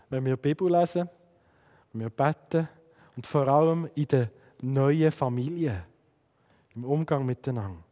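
A man in his thirties with a Swiss German accent from the Sense district of Fribourg, preaching an earnest sermon that drops to quiet emphasis. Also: a little high, long reverb tail. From Switzerland.

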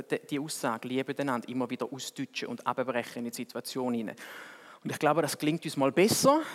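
Wry Swiss preacher in a reverberant hall. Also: male voice, on the high side. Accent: Swiss German. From Switzerland. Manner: conversational, wry, speeds up and slows down for emphasis. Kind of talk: sermon.

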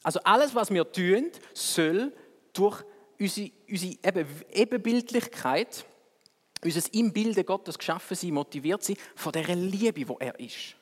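A man with a Swiss German accent, preaching a sermon in a conversational, wry way that swings between quick and slow delivery. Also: sits high, animated. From Switzerland. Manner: conversational, wry, speeds up and slows down for emphasis. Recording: long reverb of a hall.

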